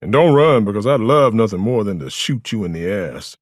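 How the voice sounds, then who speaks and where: A man plays a stern police officer in an audiobook. He is snappy and commanding with a sardonic streak, his husky voice moving widely in pitch. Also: lively intonation, brisk, no echo, clean studio sound. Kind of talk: audiobook character acting.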